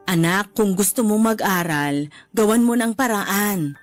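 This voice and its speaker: A woman playing a loving, middle-aged widowed mother with a Filipino accent in a radio drama, tender, gently teasing and encouraging. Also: medium pitch, expressive, unhurried, soft background music, lightly acted. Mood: tender encouragement.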